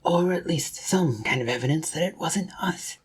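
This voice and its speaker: Husky, wary space detective, as a narrator voices them. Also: medium pitch, animated, moderate pace, pitched above the performer's own voice. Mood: questioning. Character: wary, reasoning detective questioning fellow suspects aboard space yacht.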